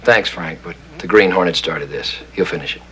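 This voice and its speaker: An actor playing a cool, menacing masked vigilante posing as a crime boss in a 1960s TV crime drama, speaking in clipped, unhurried threats. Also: male voice, sits low, close to an everyday voice. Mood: resolute. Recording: humming, noisy old TV soundtrack.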